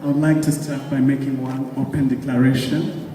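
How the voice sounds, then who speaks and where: A man's voice: medium pitch, flat delivery, normal speed. A man from Rwanda giving a devout acceptance speech to an audience, recorded from far off in an echoey, noisy hall. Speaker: award winner, gospel artist.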